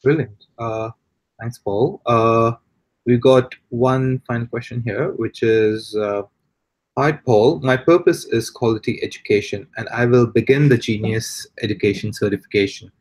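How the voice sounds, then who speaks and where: A man's voice: medium pitch, steady tone, measured pace, darkish tone, no room sound. A man hosting, thanking the guest and reading out questions with hesitant fillers. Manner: brief, hesitant fillers between reads. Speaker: host reading audience questions.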